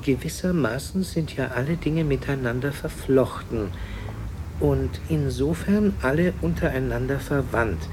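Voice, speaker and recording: Actor as pedantic professor type, mid pitch, measured lecturing, radio play, noisy behind him. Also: male voice, lightly acted. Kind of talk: 1990s radio play dialogue.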